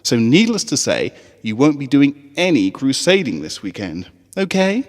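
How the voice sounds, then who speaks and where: Man as a firm, kindly mare schoolteacher, raised pitch. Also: very animated, moderate pace, big-room sound, natural voice. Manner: gently firm, instructing.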